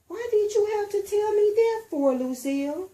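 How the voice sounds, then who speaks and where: A woman's voice: extremely high pitch, expressive, normal speed, mellow, voice pushed up. A woman voicing a grouchy, outspoken little kindergarten girl with a childlike, emphatic manner in a storybook. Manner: childlike, emphatic, blunt grammar.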